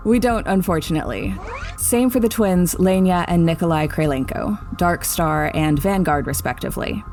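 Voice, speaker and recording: An actress playing a brisk female spy agency commander who briefs in a businesslike way. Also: lowish voice, darkish tone, soft background music, lightly acted.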